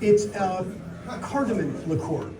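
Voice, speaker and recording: A soft-voiced man giving a chatty, playful live demonstration to an audience as a contestant. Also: sits high, big pitch swings, unhurried. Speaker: cocktail contestant. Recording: echoey distant mic, crowd chatter behind.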